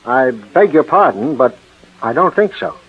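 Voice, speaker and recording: An actor playing a mild, obliging police official in a 1940s radio drama, polite and hesitant in manner. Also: male voice, medium pitch, big pitch swings, measured pace, husky. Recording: tinny, narrow 1940s radio recording.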